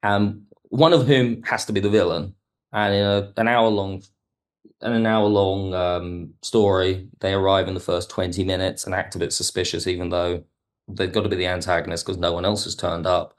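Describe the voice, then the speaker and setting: Guy with a low voice on a podcast, thoughtful, analytical, hedges his points a bit. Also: little pitch movement, moderate pace. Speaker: podcast guest, audio drama writer. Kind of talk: reflective interview talk.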